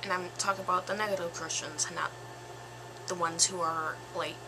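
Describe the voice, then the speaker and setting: A woman in her twenties with a slightly raspy voice, giving her opinion in a casual vlog, slow and thoughtful with pauses and fillers. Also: on the low side, fairly even intonation, background noise. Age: 20s. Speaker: vlogger.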